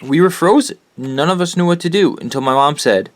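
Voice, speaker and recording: A man's voice: on the high side, a little fast, lightly acted. An actor playing an earnest, loyal, troubled teenage boy with a sincere, plain-spoken way of talking in an audio drama. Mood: reflective, uneasy. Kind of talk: conversational audio drama acting.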